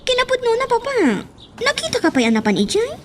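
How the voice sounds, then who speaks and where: An actress with a Filipino accent playing a respectful, caring young daughter, earnest and coaxing, in a radio drama. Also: female voice, very high-pitched, wildly swooping pitch, brisk, background noise, full character voice. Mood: apologetic pleading.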